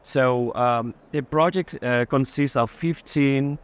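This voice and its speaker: A man speaking as a gallerist, informally explaining artworks live, pausing often to find his words. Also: mid-range voice, clean tone. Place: art fair booth.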